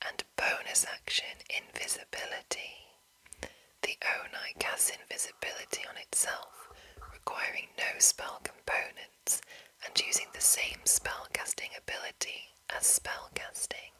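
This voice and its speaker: Slow, whispering woman, breathy ASMR. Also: bright, forceful tone, rough recording. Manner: slow, deliberate, pausing between short phrases. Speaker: host reading a game rulebook. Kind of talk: whispered ASMR reading and commentary.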